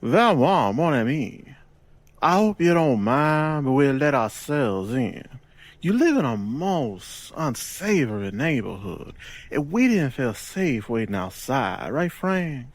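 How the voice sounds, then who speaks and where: An actor playing a mock-courteous gangster boss in an audio drama, with florid, formal phrasing. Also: male voice, medium pitch, big pitch swings, slow.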